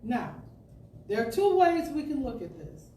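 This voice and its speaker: A woman with a fairly high, mellow voice reads an inspirational talk aloud. She goes very slowly, with deliberate phrasing and expressive pauses, in the manner of a storyteller. The sound is roomy and a little distant from the microphone. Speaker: storyteller reading a spiritual radio talk. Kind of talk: dramatic inspirational reading to an audience. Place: indoor spiritual center hall. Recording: roomy, distant mic with faint noise.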